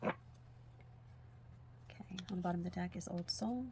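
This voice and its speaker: A woman with a husky, hoarse voice doing a spiritual tarot reading as a casual monologue, speaking softly and sometimes close to a whisper. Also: on the low side, normal speed, very noisy. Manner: soft-spoken, drifts into whispers at times. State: hoarse, losing her voice. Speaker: tarot reader.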